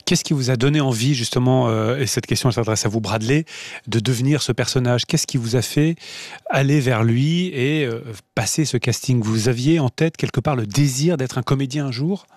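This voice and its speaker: A man's voice: mid-range voice, moderate pace, a little mellow, no room sound, studio quality. A man interviewing, asking short questions and rephrasing them several times.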